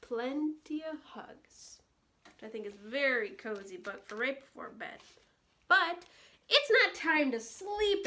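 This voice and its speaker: A woman with a high voice leads a children's story time. She takes her time, with a very slow delivery. Her manner is playful and she speaks straight to her young listeners. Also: sharp tone. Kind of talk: picture-book story time with read-alouds and sing-along.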